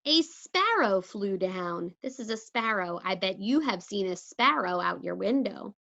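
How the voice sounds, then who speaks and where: A woman teacher reading a storybook aloud to young children with expressive, deliberate articulation, in a slightly dull lo-fi recording. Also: medium pitch, creaky. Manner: deliberate articulation, asks listeners questions.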